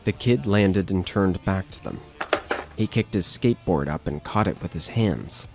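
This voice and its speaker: A man's voice: sits low, animated, normal speed, music in the background. A man narrating a scripted horror story as the narrator of an audio drama.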